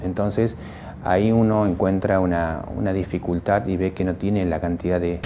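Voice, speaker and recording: A man's voice: sits low, flat delivery, moderate pace, dark tone, a little hiss or hum. A man with an Argentine accent, explaining things in an interview as a member of library staff.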